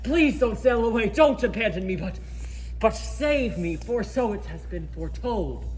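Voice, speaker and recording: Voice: mid-range voice, animated, normal speed, a little mellow, close to an everyday voice. A student actor playing a starving, ancient prophet, frail and pleading, in theatrical stage style. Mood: desperate, pleading. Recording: echoey hall, noisy distant stage recording.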